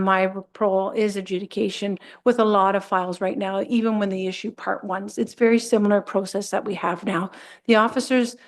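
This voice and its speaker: A woman who manages municipal enforcement, explaining her role plainly at a council meeting. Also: mid-range voice.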